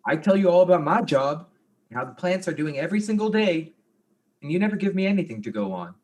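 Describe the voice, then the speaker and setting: A man's voice: on the high side, animated, fast talker, darkish tone, natural voice. A man acting an insecure, jealous and goofy fiancé in a darkly comic mystery play, earnest and flustered. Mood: wounded, suspicious.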